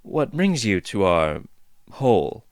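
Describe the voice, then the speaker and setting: Polite, flustered young woman, read by a man, lively tone, dry close sound. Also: on the low side, takes it slowly, no echo. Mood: nervous, polite.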